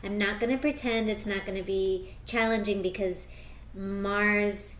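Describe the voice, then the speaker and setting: An American woman speaking as an astrologer and tarot reader, chatting casually in an interview with bursts of excitement. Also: mid-range voice, echoey room, a little hiss or hum. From United States. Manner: animated, quick bursts of excitement.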